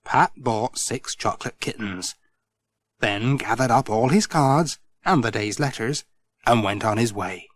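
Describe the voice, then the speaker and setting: A middle-aged man reading a children's storybook aloud, gentle and expressive. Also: mid-range voice, a little slow, no room sound. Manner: gentle, expressive, sing-song. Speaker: audiobook narrator.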